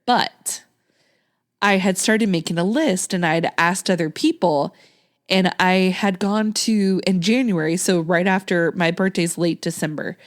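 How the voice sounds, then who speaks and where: A woman's voice: on the low side, unhurried. A woman in her late thirties from Texas, a podcast host chatting casually with a guest, with frequent laughs and ums. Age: late 30s. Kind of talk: casual chat.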